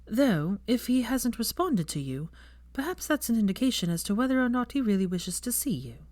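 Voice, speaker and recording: Protective young woman, voiced by a female narrator, storybook heroine, raised pitch, earnest, frank, gently firm, dry recording. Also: sing-song, normal speed, no room sound. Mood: pointed.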